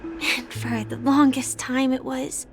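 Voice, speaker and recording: Gentle wistful game girl, high soft voice, talks real slow n earnest. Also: flat delivery, soft background music. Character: gentle, wistful young girl who pilots a war mech. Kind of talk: video game dialogue acting.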